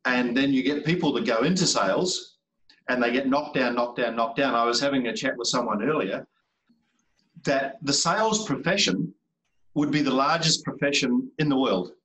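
A man's voice: mid-range voice, normal speed, fairly bright tone, a little hiss or hum. A man, a sales trainer talking casually as a podcast guest, emphatic and repeating words for effect. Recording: roomy, distant from the mic.

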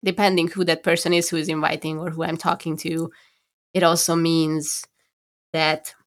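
A woman with a Hungarian accent, co-hosting a podcast from home, talking things through thoughtfully and pausing to find her words. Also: low-pitched, level tone. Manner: thoughtful, searching for words with restarts. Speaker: podcast co-host. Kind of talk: casual conversation.

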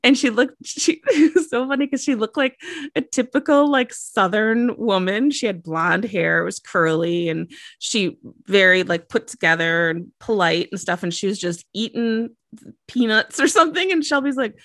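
A woman's voice: medium pitch, expressive, moderate pace, fairly bright tone, dry recording, studio quality. A woman co-hosting a podcast, telling stories in casual chat, gushing, laughing a lot and swearing freely. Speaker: podcast co-host.

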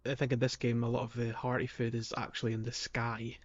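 A man's voice: mid-range voice, completely flat delivery, quick pace. A man co-hosting a podcast in casual conversation about video games, on a slightly dull recording. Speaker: podcast co-host. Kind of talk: casual two-man video game discussion.

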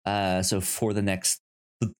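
A man hosting a podcast in a casual, chatty style, sometimes halting and repeating words as he searches for phrasing. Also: low voice, level tone. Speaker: podcast host.

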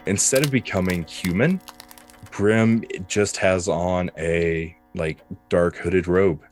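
A man's voice: sits low, slow pace, a little mellow, no room sound, soft background music. A man narrating as game master, improvising the scenes of a tabletop roleplaying game.

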